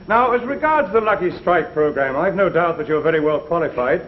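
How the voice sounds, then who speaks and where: A man's voice: on the high side, expressive, fairly quick, lightly acted. An actor playing a polite, doubtful company executive, the courteous straight man in a 1950s broadcast comedy sketch. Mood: measured. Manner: courteous, echoes the other's words. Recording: muffled 1950s recording, studio audience, noise.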